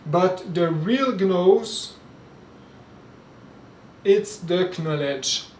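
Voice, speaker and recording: A man from Quebec, a native French speaker with a Québécois French accent, gives an informal spoken lecture with halting speech, many fillers and restarts. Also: high-pitched, a little hiss or hum. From Quebec, Canada. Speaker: spiritual teacher. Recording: roomy echo, mic at a distance, faint ambience.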